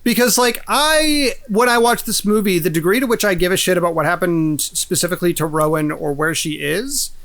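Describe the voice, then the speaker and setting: A man co-hosting a podcast, discussing film casually with deliberate, analytical phrasing. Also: high-pitched, a bit bright. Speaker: podcast co-host.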